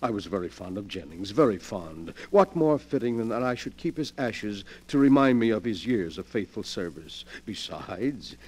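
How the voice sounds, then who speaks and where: An actor playing a sly, morbid invalid gentleman who gloats with effusive politeness, in 1940s radio mystery style. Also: lowish voice, expressive, normal speed, few pauses, slightly rough. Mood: unctuous, pleased. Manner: effusively polite, theatrical, relishing his words. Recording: vintage 1940s radio broadcast.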